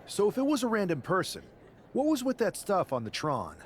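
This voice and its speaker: Sardonic wrestler with lively pitch. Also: male voice, fairly quick, a little hiss or hum. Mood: sarcastic, annoyed. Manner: dry, sarcastic. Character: sardonic, stubborn, vengeful male rookie pro wrestler. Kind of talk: game cut-scene dialogue.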